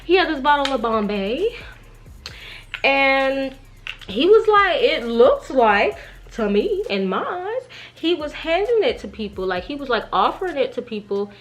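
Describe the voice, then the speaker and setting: High-pitched, animated young woman storyteller. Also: unhurried, soft background music. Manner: slangy, gossipy, acts out quoted dialogue. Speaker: vlogger. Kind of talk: animated casual storytime chat.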